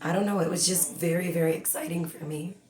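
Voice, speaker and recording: A woman in her forties from the Bahamas talks freely and at length, full of "you know" and "like". Her voice sits low for a woman. The room gives it a noticeable echo. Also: fairly even intonation, fast, a little mellow. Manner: chatty, talks a lot with many fillers. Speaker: guest. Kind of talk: casual interview.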